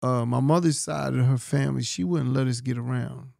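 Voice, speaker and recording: Middle-aged podcast host, gravelly voice, easygoing jokey chat, dry close mic. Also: male voice, mid-range voice, fairly quick, dark tone, no room sound. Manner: upbeat, joking banter. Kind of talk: casual chat. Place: podcast studio. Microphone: studio mic on boom.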